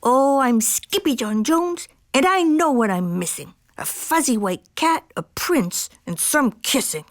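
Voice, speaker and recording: Woman voicing a boastful high-pitched little boy kitten. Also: animated, a little slow, few pauses, no echo, clean studio sound. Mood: playful. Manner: theatrical, put-on Spanish accent. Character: boastful, playful little boy kitten posing as sword-fighting chihuahua.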